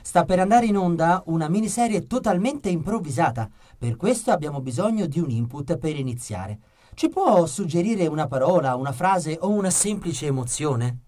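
A man's voice: a little high, expressive, normal speed, darkish tone. A man hosting a radio show in a scripted announcer style, presenting and addressing listeners.

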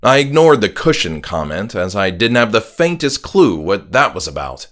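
A man's voice: fairly low pitch, big pitch swings, moderate pace, fairly bright tone. A man narrating a horror audiobook as its author, in a dramatic scripted style. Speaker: author narrating his own horror story. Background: music.

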